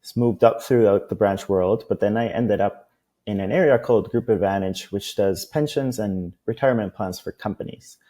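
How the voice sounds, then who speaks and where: A man's voice: lowish voice, normal speed, mellow. A man from Costa Rica, a guest on a business interview, talking at length in a loose, rambling way. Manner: talkative, many fillers and restarts.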